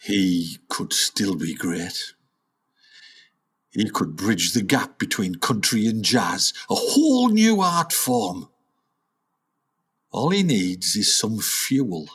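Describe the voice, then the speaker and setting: Radio comedy role for a man: worn-out older father and dreamer, animated swings of pitch, rough-edged voice, dramatic self-talk. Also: slow, pauses often, exaggerated voice. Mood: grandiose, resolved. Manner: dramatic musing, talking to himself. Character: weary, delusional older father, failed jazz musician, grandly hopeful. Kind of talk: comic radio play acting.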